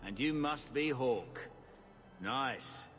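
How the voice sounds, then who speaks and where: A man's voice: mid-range voice, expressive, extremely slow, fairly bright tone. A man voicing a crude, tough mercenary captain in a video game, giving blunt orders. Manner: coarse, blunt. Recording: game audio with music underneath.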